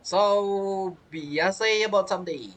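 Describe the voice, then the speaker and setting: A man's voice: high-pitched, takes it slowly, fairly bright tone. A man giving casual gameplay commentary as a streamer, rambling and playful, with non-native phrasing. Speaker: game streamer.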